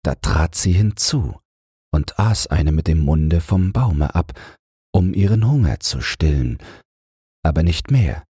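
A man reads a bedtime fairy tale in a calm, soothing manner. His voice is deep and strongly breathy, the pace slow and restful, and the sound dry. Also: no room sound. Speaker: audiobook narrator. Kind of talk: scripted fairy-tale narration for falling asleep.

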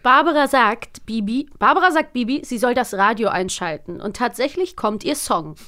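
A woman's voice: on the high side, animated, moderate pace, bright, forceful tone. A woman hosting a casual podcast, chatting in a playful, encouraging way. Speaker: podcast host and quizmaster.

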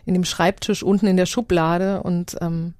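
A woman's voice: on the low side, flat delivery, normal speed, a little mellow, no room sound, clean studio sound. A woman explaining her project as a guest in casual, loosely structured conversation.

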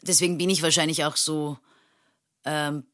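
A woman's voice: low voice, fairly even intonation, moderate pace, fairly bright tone. A thirty-something woman from Turkey speaks in an easy interview conversation, thinking aloud as she goes. Her sentences circle back with fillers and restarts, and she often breaks into a laugh. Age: 30s. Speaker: actress and interview guest.

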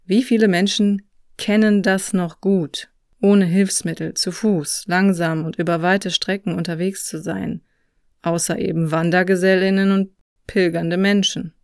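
A narrator reading a scripted personal travel story in a reflective, measured way, recorded close on a microphone. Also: mid-range voice, level tone, smooth, dry recording. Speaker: podcast host and narrator.

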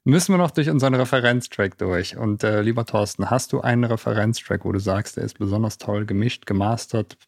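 A man's voice: lowish voice, fairly quick, creaky, few pauses, no room sound, studio quality. A man hosting a podcast in a casual, chatty style. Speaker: podcast host. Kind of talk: casual chat.

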